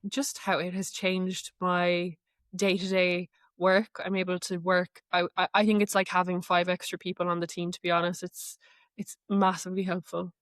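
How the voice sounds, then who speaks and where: A woman's voice: fairly low pitch, flat delivery, moderate pace, fairly bright tone, no room sound, clean studio sound. A woman giving conversational, earnest explanations as an interview guest. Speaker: guest, AI knowledge manager.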